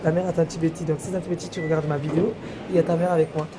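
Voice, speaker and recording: Young female travel vlogger, lowish voice, chatty rambling, noisy airport recording. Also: level tone, rapid-fire. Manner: rambling, chatty narration of her day. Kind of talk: casual vlog chat to camera. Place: airport terminal and plane cabin, indoors.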